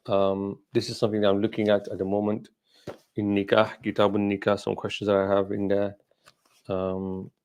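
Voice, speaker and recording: A middle-aged man whose mother tongue is Gujarati, talking informally as a scholar answering questions, in a halting, reflective way that repeats phrases. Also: lowish voice, a little mellow. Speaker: Islamic scholar hosting a question and answer session.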